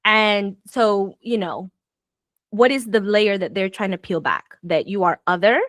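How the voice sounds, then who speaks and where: A woman's voice: mid-range voice, measured pace, dry recording, clean studio sound. A woman raised in Southern Florida whose first language is Spanish, talking reflectively in a casual conversation, with many fillers and restarts and bursts of exasperation. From United States, raised in Southern Florida.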